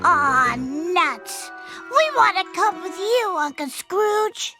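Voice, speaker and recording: An actor voicing an excitable young duckling in a cartoon game, cheeky and easily spooked. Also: very high-pitched, takes it slowly, faint music behind, full character voice. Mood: whiny, bluffing. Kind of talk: cartoon video game acting.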